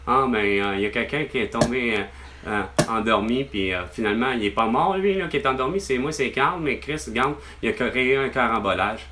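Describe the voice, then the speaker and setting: A man's voice: mid-range voice, a little fast, background noise. Exasperated man with a Québécois accent. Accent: Québécois French. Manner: rambling, exasperated, swears often. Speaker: vlogger. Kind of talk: venting on a phone call with his mother.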